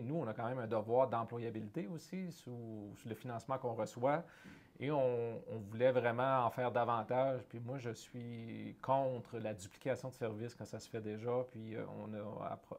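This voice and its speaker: A man's voice: mid-range voice, gravelly, darkish tone. Earnest middle-aged Canadian French man. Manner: earnest, searching for words with frequent restarts. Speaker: guest, head of a francophone economic development organization. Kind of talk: conversational interview.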